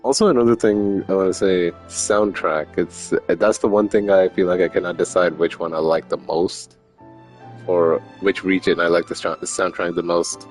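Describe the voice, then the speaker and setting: Low-voiced man, casual game streamer. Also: fairly even intonation, moderate pace. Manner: rambling, slangy. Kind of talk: casual gameplay commentary. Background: faint game music.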